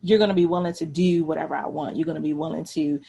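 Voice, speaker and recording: A woman's voice: low-pitched, fairly even intonation, fast, creaky, mellow, husky. A woman hosting a radio talk show, chatting frankly and casually in slangy, conversational language with her guest. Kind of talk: casual, frank interview chat.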